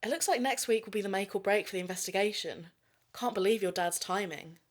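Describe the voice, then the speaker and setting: Casting: actress as practical female podcast partner, medium pitch, understated, friendly, everyday audio drama delivery. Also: a little fast, natural voice. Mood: resigned. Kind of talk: naturalistic audio drama acting.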